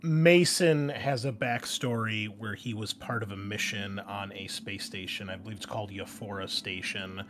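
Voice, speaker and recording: Low-voiced man, game master, noisy recording. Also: fairly even intonation, normal speed, hardly any pauses. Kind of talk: casual explanation of a tabletop game's story.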